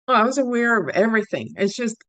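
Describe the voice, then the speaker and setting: A woman's voice: fairly high pitch, expressive, fast, fairly bright tone, no room sound. A talkative woman from Cuba, a guest casually retelling a personal experience with repeated words for emphasis. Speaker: stroke survivor guest.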